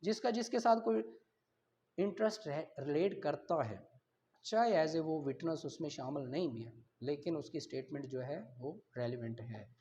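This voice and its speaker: Male lecturer, Pakistani accent, high-pitched voice, explains step by step and repeats key terms. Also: moderate pace, mellow. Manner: checks listeners' understanding, repeats key terms. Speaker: law teacher. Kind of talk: classroom lecture, reading out and explaining.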